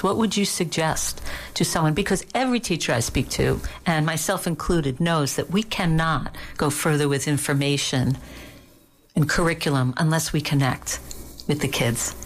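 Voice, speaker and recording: A woman with a low voice who hosts the interview. She agrees with her guest's answers and asks layered, rephrased follow-up questions. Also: moderate pace. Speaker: interviewer, host. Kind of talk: interview conversation.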